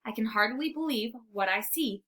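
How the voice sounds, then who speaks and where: A woman reading a children's storybook aloud in an expressive, theatrical way. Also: on the high side, normal speed. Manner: animated, theatrical. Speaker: storybook reader. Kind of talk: expressive read-aloud narration.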